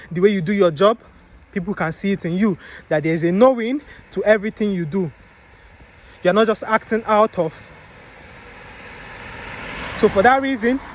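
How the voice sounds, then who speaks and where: A young man giving a preachy motivational talk, answering his own rhetorical questions and calling the listener bro and man. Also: sits high. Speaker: motivational vlogger. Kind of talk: casual motivational monologue.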